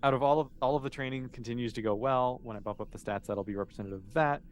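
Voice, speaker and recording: A man's voice: medium pitch, racing. A man acting as game master, casually improvising narration for a tabletop role-playing game and thinking aloud as he goes.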